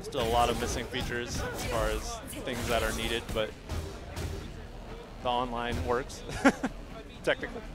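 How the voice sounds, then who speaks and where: A man chatting casually and informally as a game developer in a livestream interview. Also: mid-range voice. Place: gaming event venue. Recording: music behind the voice. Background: music.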